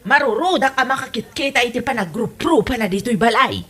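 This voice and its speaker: Woman acting a high-pitched, stingy mother-in-law. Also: a little fast, sharp tone, breathy edge. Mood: grudging, scolding. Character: stingy, grumbling older mother-in-law and aunt. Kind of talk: comedy radio drama acting.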